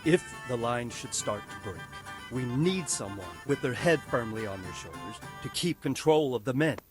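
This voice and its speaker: A man's voice: mid-range voice, animated, normal speed, over music, lightly acted. An actor playing a wounded male lord who briefs his men on a battle plan with firm authority. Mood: stern. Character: wounded, stern male lord commanding a siege. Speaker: military commander.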